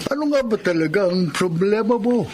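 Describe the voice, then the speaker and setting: A man playing a kind, fatherly older employer in a Filipino radio drama, gently advising with a Filipino accent. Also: high voice, lively intonation, fairly quick. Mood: kindly concern. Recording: clearly noisy radio drama track.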